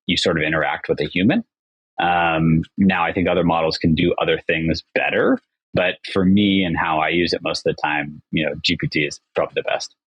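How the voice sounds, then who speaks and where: A podcast guest with a low, husky voice. He talks with upbeat enthusiasm in long, flowing sentences. The sound is dry and close-miked. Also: a bit bright, dry recording, low-quality recording. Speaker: agency founder, podcast guest. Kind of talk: casual interview chat.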